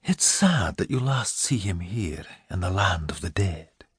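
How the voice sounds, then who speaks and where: A gentle but firm lady, voiced by a male audiobook narrator, soothing and sad. The voice is hushed and husky, rising and falling expressively in a close, dry recording. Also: low voice, animated, unhurried, dry recording, pitched below the performer's own voice, exaggerated voice.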